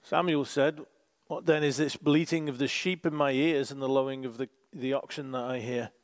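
A man's voice: medium pitch, steady tone, moderate pace, big-room sound. A man reading scripture aloud and reflecting on it as a bible teacher. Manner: reflective, reads quotes with emphasis.

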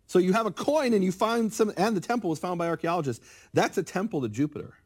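Middle-aged male guest scholar, slightly high voice, animated and lecturing. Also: fast. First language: English. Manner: animated, acts out quoted speakers. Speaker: guest scholar of biblical Hebrew. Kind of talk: conversational interview, lecturing.

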